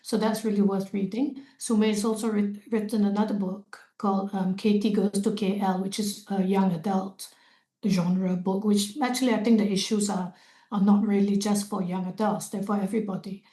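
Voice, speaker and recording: A woman moderates an online talk between poets. She has a mellow, soft voice with fillers between phrases, and she sounds as if she is in a roomy, echoing space. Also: mid-range voice, little pitch movement, normal speed. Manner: hesitant, with many fillers. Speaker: host. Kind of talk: moderating a poetry discussion.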